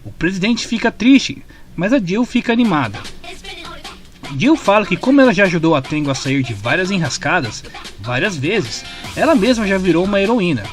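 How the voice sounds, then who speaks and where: A man's voice: sits high, very animated, normal speed. A man with a Brazilian accent reading a scripted retelling of a comic story as narrator. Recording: music bed behind the voice. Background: clear background music.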